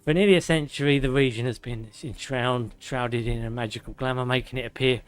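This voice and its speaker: Hoarse streamer reading lore. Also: male voice, mid-range voice, fairly even intonation, brisk. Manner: sarcastic asides, swearing. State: hoarse, losing his voice; slightly out of breath. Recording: faint music behind.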